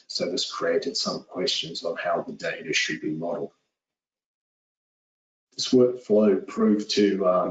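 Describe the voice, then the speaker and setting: A man in his forties with a New Zealand accent, giving a steady, businesslike webinar presentation with frequent "uh"s over a call. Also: low voice. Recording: echoey, distant, dull lo-fi audio.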